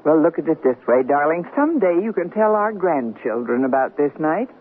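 A woman's voice: deep voice, very animated, fairly quick. A woman playing a practical, teasing middle-aged newlywed wife in a radio comedy, with a dry delivery. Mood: cheerfully resolute. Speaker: actress. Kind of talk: 1970s radio comedy acting. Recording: narrowband 1970s radio recording, faint hiss.

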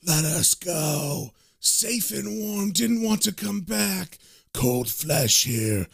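A man voicing reanimated corpses as tormented souls in a horror story, pleading in drawn-out moans. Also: high voice, expressive, gravelly, breathy edge, full character voice. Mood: anguished moaning. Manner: slow, moaning, drawn-out pleading.

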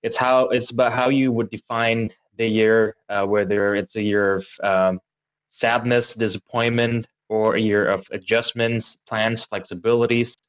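Male panel guest from Indonesia, lower voice, dull remote audio, halting delivery. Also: level tone, clear, resonant tone. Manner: hesitant, many fillers. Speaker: guest, engineer. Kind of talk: casual panel discussion.

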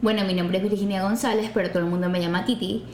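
A young woman with a Venezuelan accent, co-hosting a casual podcast chat, animated and emphatic. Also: mid-range voice, quick pace. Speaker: podcast co-host. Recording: echoey room with faint noise.

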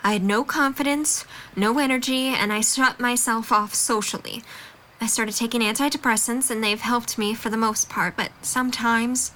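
A woman's voice: on the high side, steady tone, moderate pace, a bit bright, a little hiss or hum, close to an everyday voice. A young woman playing a frank, earnest college student in an audio drama, speaking firmly and with emphasis. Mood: confiding. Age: young adult. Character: frank, earnest female college student, supportive but fed up. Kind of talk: audio drama dialogue acting.

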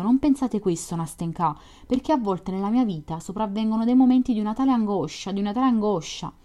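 A woman's voice: on the low side, dark tone, close to an everyday voice. A woman voicing a lonely, melancholy young male dreamer who pleads and confesses in fervent outpourings, read from a storybook.

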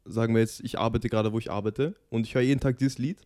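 A man's voice: mid-range voice, steady tone, quick pace, warm tone, dry recording, clean studio sound. A young man co-hosting a podcast, talking casually with slang and English fillers. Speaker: podcast co-host. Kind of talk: casual chat among friends.

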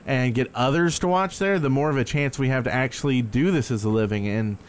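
A man's voice: medium pitch, fairly quick, a little hiss or hum. An American man co-hosting a live call-in podcast, joking and self-deprecating, with lots of "like". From United States. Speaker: podcast co-host. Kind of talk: casual live call-in chat.

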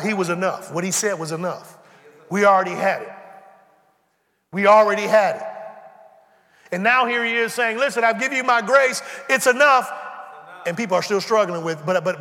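Animated high-voiced preacher in a big hall. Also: male voice. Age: 40s. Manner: conversational preaching, acts out dialogue, humorous asides. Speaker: pastor. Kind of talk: sermon. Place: church sanctuary.

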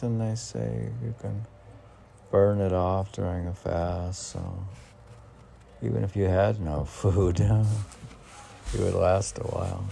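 A man reading poems aloud in a rambling manner, with muttered musing asides and questions to himself, in a gravelly voice. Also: on the low side, dark tone. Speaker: poet reading own poems.

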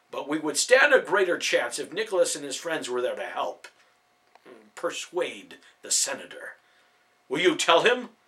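A man doing a desperate, shouting father. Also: fairly high pitch. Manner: yelling, urgent. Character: desperate father, a town watchman, angry and pleading.

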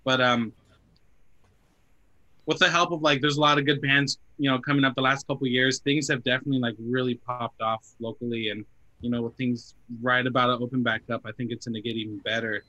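A man's voice: mid-range voice, steady tone, fairly quick, pushed and bright, dull audio. A man in his twenties, a band member chatting casually on a podcast, full of "like" fillers and retold quotes. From Phoenix area, US. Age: 20s.

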